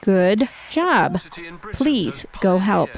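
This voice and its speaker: An actress playing a steady, commanding woman who leads her neighbors in a radio drama. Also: mid-range voice, extremely slow, exaggerated voice. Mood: firm. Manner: firm, matter-of-fact. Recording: clear street ambience noise.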